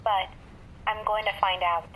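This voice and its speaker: A woman playing a brisk, sardonic warrior-engineer in a fan audio drama, with a clipped, dry manner. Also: mid-range voice, steady tone, fairly bright tone, slight background noise, natural voice, low-quality recording. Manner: clipped, dry, matter-of-fact.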